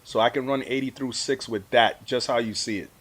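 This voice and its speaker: A man's voice: medium pitch, steady tone, brisk, crowd noise. Mature man, laid-back colloquial talker. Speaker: amateur radio operator, award-winning guest. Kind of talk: casual storytelling in an interview. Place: live video stream.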